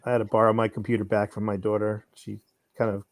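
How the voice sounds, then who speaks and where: Male chat-show guest, noticeable vocal fry, casual talk, dry close sound. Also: on the low side, completely flat delivery, fast, dry recording. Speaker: guest, radio play director.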